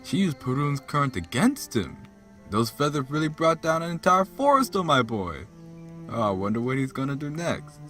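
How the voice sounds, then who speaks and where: A man's voice: medium pitch, big pitch swings, normal speed, pushed and bright, faint music behind. A man playing a hearty, jovial, fatherly god who teases and exclaims in an audio drama. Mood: amused. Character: jovial, bellowing, drum-beating male god, fatherly.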